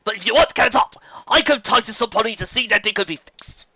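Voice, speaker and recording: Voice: medium pitch, quick pace, pushed and bright, breathy edge, pitched above the performer's own voice. A reader voicing a polite, well-meaning aristocratic stallion who speaks graciously, in a dull lo-fi recording. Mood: courteous. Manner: courteous and gracious.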